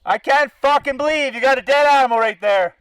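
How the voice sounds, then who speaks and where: A man's voice: very high-pitched, fairly quick, a bit bright, clean tone, dry recording. A man with a Canadian accent delivers an indignant, sarcastic, sweary protest rant as a confrontational activist, through a bullhorn outdoors. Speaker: animal-welfare activist. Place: outdoors by a zoo fence.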